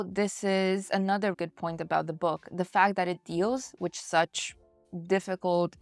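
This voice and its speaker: A woman's voice: medium pitch, creaky, no echo. A woman hosting a book review in a chatty, conversational way, speaking directly to her viewers. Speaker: book reviewer and channel host.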